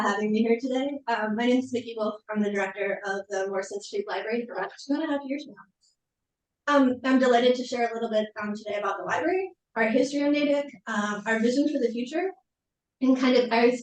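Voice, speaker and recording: A soft-spoken woman presenting as a library director, with frequent ums, over a video call. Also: a little high, fast. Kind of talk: community presentation. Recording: echoey room, far from mic.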